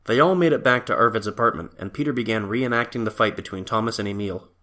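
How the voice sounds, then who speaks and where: A man's voice: lowish voice, fast, pushed and bright. A man reading scripted story narration for an audio drama.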